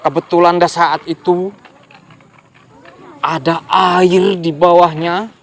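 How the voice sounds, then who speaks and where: A storyteller telling a folk tale to children, animated and often addressing the young listeners directly. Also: mid-range voice, slow.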